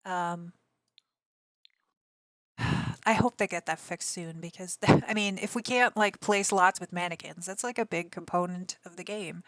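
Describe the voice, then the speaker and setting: A woman streamer with a slightly raspy voice, chatting casually and answering her viewers. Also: on the low side, a little slow, pauses often, dry recording. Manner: rambling, chatty, answers chat messages aloud. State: allergies. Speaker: game streamer.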